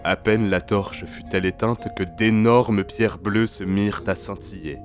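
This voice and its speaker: A man's voice: on the low side, unhurried, soft background music. A man narrating a fantasy audio drama in a literary, solemn storytelling manner.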